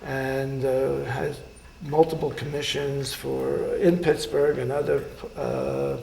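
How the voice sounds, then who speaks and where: An older man, a surgeon, recalling his life in an oral history interview, speaking haltingly with many pauses and fillers. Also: mid-range voice, little pitch movement, creaky, darkish tone, reverberant, slight background noise, rough recording.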